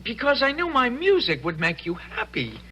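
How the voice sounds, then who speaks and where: An actor playing a boyish, eager, sweet-talking young soldier in a radio drama, folksy and earnest with a stammer. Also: male voice, very high voice, huge pitch swings, normal speed, pushed and bright, slightly rough, full character voice. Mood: nervous, pleading. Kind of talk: old-time radio drama acting.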